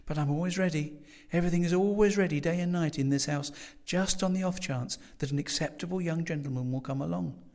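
A man's voice: a little high, a little fast, hardly any pauses, darkish tone, voice pushed up, natural voice. Man putting on a sugary old landlady voice, raised and lilting, fussy and coaxing. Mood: gushing. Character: dotty, overly sweet older landlady woman.

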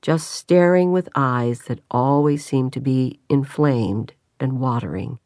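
A woman narrating a novel in an even, measured way, with quiet dialogue parts. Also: a bass voice, no echo, clean studio sound. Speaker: audiobook narrator. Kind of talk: scripted narration.

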